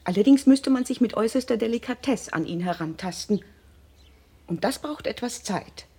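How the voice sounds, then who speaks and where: An actress playing a determined upper-class wife and mother in a German radio drama, husky, speaking in a measured, formal way. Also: a little high, animated, mellow. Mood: guarded, reasoning.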